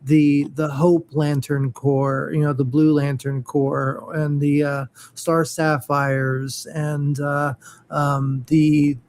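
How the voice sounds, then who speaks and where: Slow, halting man with a flat voice. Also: a little high. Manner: halting, many fillers and restarts. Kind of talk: casual geeky chat.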